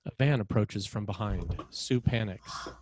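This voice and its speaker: A man narrating screenplay action and scene headings in a measured, dramatic way, in short phrases. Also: on the low side, darkish tone, no room sound.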